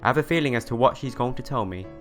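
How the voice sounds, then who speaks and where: A man hosting a let's play, reading visual novel narration aloud in an expressive storytelling manner. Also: mid-range voice, very fast. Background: background music.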